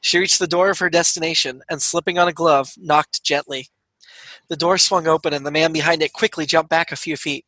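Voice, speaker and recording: A man's voice: a little high, fairly even intonation, brisk, bright, forceful tone, dry recording, rough recording. A man reading a scripted comic story aloud to listeners, as its writer and performer. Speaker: comedy troupe writer reading own story.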